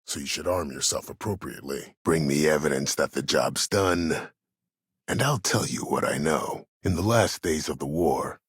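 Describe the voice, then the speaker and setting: An actor playing an imprisoned, disgraced admiral in a video game, a calculating schemer who speaks with cold courtesy in measured, formal, deliberate phrases. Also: male voice, rumbling low, slightly rough, no room sound, studio quality. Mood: businesslike, cold. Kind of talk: video game character dialogue.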